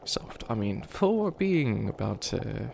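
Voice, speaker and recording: A man's voice: on the low side, sing-song, slow, darkish tone, full character voice. A man voicing a flustered, youthful bunny witch who wants to be evil, stammering and rambling defensively in a comedic ASMR roleplay. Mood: hesitant, instructive. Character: flustered 853-year-old youthful bunny witch, harmlessly wannabe evil. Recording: clearly noisy ambience behind voice.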